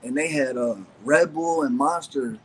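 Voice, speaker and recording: A man's voice: mid-range voice, measured pace, slight background noise, poor audio. Profane young man telling stories. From Ohio, USA. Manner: loose, profane storytelling with many fillers. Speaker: guest, former pro BMX rider. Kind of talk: casual podcast chat.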